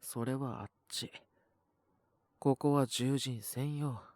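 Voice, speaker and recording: Man acting as a gruff, sullen young wolf beastman. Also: medium pitch, fairly even intonation, slow pace, darkish tone, no echo, natural voice. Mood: curt, reluctant. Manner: blunt rough speech, curt, grumbling. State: sick with a cough, acted. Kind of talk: Japanese audio roleplay voice acting.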